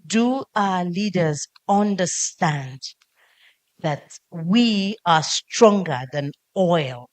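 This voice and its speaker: A middle-aged woman, an actress on a panel, speaking deliberately in rhetorical questions. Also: on the low side, expressive, no echo. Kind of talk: panel discussion remarks. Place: conference stage.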